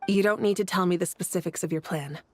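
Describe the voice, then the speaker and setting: A woman's voice: lowish voice, fast talker, no echo, close to an everyday voice. A woman voicing a confident, easygoing female leader god in a video game, with a breezy, teasing manner. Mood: sincere, assured. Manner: breezy, self-assured, teasing. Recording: game music behind.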